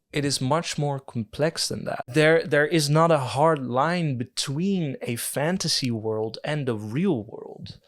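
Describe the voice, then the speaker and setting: Musing Dutch-accented man essaying. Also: medium pitch. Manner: thoughtful, musing, self-deprecating with fillers. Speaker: video essayist. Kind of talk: casual spoken video essay.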